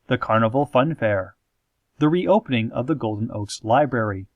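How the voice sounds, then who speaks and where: A man playing a calm, formal small-town radio host, narrating a parody horror-comedy show in measured, deadpan phrases with drawn-out dramatic moments. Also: mid-range voice, no room sound, lo-fi sound. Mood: calm, ominous deadpan. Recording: music playing behind the voice. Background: soft guitar music.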